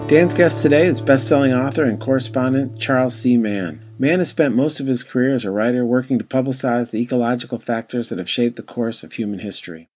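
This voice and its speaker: A man's voice: medium pitch, brisk, hardly any pauses, darkish tone. Male announcer over guitar music. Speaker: show announcer. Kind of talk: scripted show intro.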